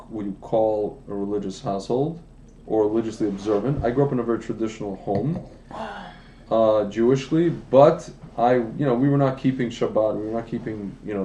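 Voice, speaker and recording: A man's voice: fairly low pitch, dark tone, roomy sound, dull audio, slight background noise. A man in his late thirties, telling his life story in a casual, rambling podcast chat, with many restarts and asides. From United States. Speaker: wine business founder, podcast guest. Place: indoor room, livestream podcast.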